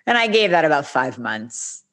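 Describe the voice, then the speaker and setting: A woman's voice: low-pitched, normal speed. A woman in her forties, a podcast guest, telling her own story candidly in a casual interview and acting out what others said. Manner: candid, animated, acts out quoted speech.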